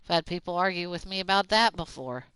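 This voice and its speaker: A streamer from Oklahoma with a Southern accent, rambling in fast, casual talk with plenty of fillers while playing a game. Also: mid-range voice, expressive, pushed and bright, clean tone, no room sound. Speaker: gaming streamer.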